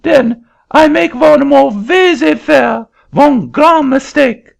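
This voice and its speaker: A man voicing an excitable middle-aged Frenchman with a French accent and sputtering broken English, in an audiobook reading. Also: very high voice, slow, vocal fry, pitched above the performer's own voice, exaggerated voice. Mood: indignant. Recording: dull lo-fi recording.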